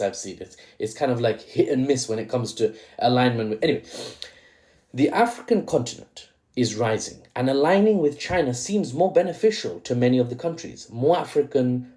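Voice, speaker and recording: A man's voice: medium pitch, warm tone. A man with a mixed British accent giving chatty, opinionated political commentary with rhetorical asides and slang. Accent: British, with a mixed Indian-tinged lilt. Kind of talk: casual commentary video.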